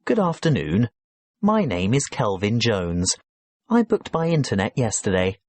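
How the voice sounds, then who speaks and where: A man playing a polite businessman checking into a hotel in a scripted listening-test dialogue, courteous and clearly spoken. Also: on the low side, huge pitch swings, unhurried, dry recording, exaggerated voice.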